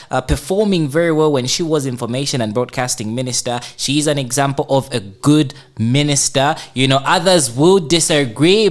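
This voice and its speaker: A man co-hosting a casual discussion, talking hesitantly and restarting his sentences often. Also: on the high side. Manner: hesitant, many fillers and restarts. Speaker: co-host.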